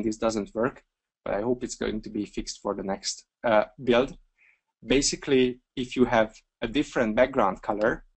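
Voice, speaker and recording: Man with a Hungarian accent explaining software. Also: mid-range voice, slightly rough. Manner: relaxed step-by-step explaining with fillers. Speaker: software trainer. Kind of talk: webinar screencast demo.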